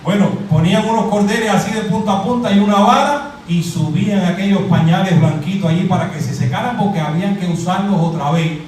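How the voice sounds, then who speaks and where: A middle-aged Cuban man preaching a lively sermon, quizzing his congregation and joking as he goes. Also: high voice, brisk, few pauses, a little hiss or hum. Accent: Cuban Spanish. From Cuba. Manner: animated, asks the crowd questions and jokes. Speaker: pastor. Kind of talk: interactive sermon, calling on the congregation. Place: church hall. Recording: very echoey hall, mic at a distance.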